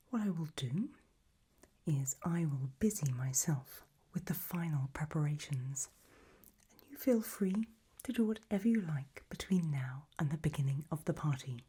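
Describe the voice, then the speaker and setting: Casting: woman to play elegant, macabre gothic matriarch for ASMR roleplay; low, breathy whisper, gracious and genteel. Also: animated, unhurried, pauses often, mellow, exaggerated voice. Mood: welcoming, soothing. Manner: languid, formal, genteel.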